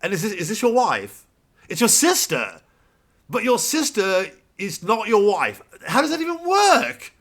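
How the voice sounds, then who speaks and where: A man voicing a pushy male stand-up comic who grills an audience member in a shrill, incredulous, nosy way. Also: huge pitch swings, measured pace, a bit bright, exaggerated voice.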